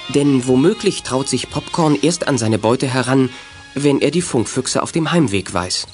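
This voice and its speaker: A man's voice: mid-range voice, animated, normal speed, faint music behind, natural voice. A man narrating a German youth adventure radio play as a scripted storyteller.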